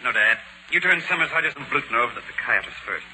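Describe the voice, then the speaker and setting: An actor playing a confident, methodical gentleman detective with an American accent, in 1940s radio style. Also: medium pitch, lively intonation, fast talker. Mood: confident, intent. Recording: noisy 1940s radio recording.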